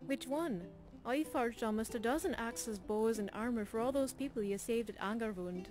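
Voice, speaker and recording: An actress plays a no-nonsense woman blacksmith of a fantasy game. Her voice sits on the high side, brisk and blunt, the voice of someone who works steel for soldiers. Game music runs clearly behind her. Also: darkish tone, natural voice. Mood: matter-of-fact, wry. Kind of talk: video game character dialogue.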